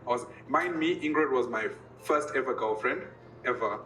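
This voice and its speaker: A man's voice: mid-range voice, lively intonation, moderate pace, a bit bright, slight background noise. A young man from Zimbabwe and South Africa telling his story in a recorded interview, laughing easily. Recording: roomy, from a distance, music underneath.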